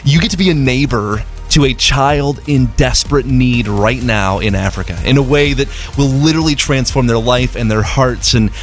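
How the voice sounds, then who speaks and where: A man's voice: medium pitch, moderate pace, few pauses, faint music behind. Passionate man raised in Zimbabwe. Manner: passionate, storytelling, repeats words. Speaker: charity representative on radio. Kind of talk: earnest appeal on radio.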